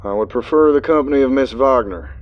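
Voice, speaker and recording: A man's voice: mid-range voice, a little fast, dull audio, voice pushed up, lightly acted. A man voicing an older male official who announces in a formal, bureaucratic way.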